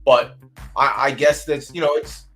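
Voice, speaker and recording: A man's voice: mid-range voice, fairly even intonation, unhurried, fairly bright tone, faint music behind. A man hosting a casual podcast chat, talking bluntly and venting with plenty of swearing. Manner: blunt, profane, fired-up venting. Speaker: host. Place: home streaming setup.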